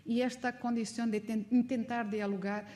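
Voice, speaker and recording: A woman's voice: on the high side, little pitch movement, moderate pace, dark tone. A woman from Mozambique with a Mozambican Portuguese accent, speaking as a non-native in an informal, reflective academic lecture. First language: not Spanish, learned it as an adult; also speaks Portuguese.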